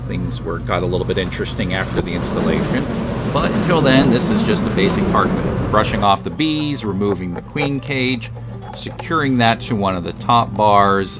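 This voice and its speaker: A man narrating his beekeeping work in a relaxed, matter-of-fact, explanatory voice-over. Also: on the low side, very noisy. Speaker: beekeeper and channel host. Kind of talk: voice-over narration of a hive install. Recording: voice over background music.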